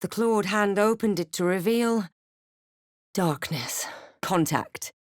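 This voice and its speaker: A woman voicing a wry, observant female adventurer who narrates her journey in a video game, measured and reflective. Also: medium pitch, no room sound, studio quality, natural voice. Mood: grave, reflective. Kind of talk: video game character voice lines and first-person narration.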